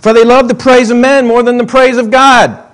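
High-pitched middle-aged guy preaching, chatty, goes from excited to mumbly asides. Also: normal speed. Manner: conversational, swinging between excited highs and muttered asides. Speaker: pastor. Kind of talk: sermon.

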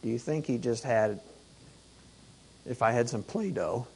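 Slow, hoarse man teaching scripture. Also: medium pitch, lively intonation. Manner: conversational, rhetorical questions, many restarts. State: hoarse, losing his voice. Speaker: Torah teacher. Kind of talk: Torah portion teaching.

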